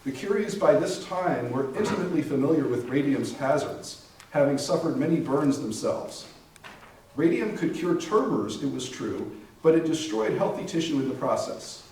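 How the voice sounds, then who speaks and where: A man's voice: fairly high pitch, animated, normal speed, a little mellow, slight background noise, lightly acted. A man playing a grave, knowing doctor and inventor in his thirties in a readers theater monologue. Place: library readers theater performance, distant microphone. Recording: very echoey room, distant mic.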